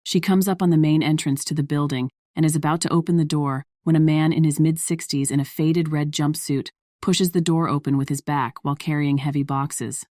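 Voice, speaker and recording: Female narrator, deep register, scripted fiction read, dry close recording. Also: fairly even intonation, a little fast, hardly any pauses, dark tone, no echo.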